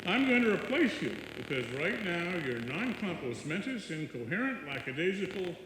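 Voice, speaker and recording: A man's voice: sits high, sing-song, moderate pace. A man playing a blustery, sarcastic lawyer boss in an old-time radio comedy staged live. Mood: exasperated. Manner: blustery, sardonic, emphatic. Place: live stage performance in a hall. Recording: very echoey hall, loud background noise.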